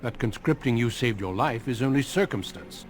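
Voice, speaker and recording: A man playing a grave, authoritative veteran warrior in a fantasy video game, speaking in a solemn, measured way. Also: mid-range voice, close to an everyday voice. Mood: stern, urgent. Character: grave commanding veteran warrior, a male Grey Warden recruiter.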